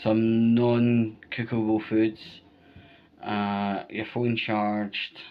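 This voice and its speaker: Casting: Scottish male vlogger, lowish flat-toned voice, unhurried, emphatic informal advice, muffled lo-fi sound. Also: smooth. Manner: emphatic, repetitive, checks in with "right?". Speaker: vlogger giving storm safety advice. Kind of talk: informal spoken advice to viewers.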